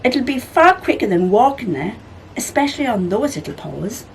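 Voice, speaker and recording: Woman as a wise, lively old-school storybook bird. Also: fairly high pitch, normal speed, heavy background noise. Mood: assured. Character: sleepy, wise male bird with clever ideas.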